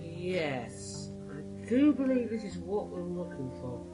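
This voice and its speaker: A man's voice: high voice, big pitch swings, takes it slowly, heavily acted, rough recording. An actor playing an earnest, clever young male time-traveller in a sci-fi radio drama, speaking precisely. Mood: puzzled. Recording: music under the voice.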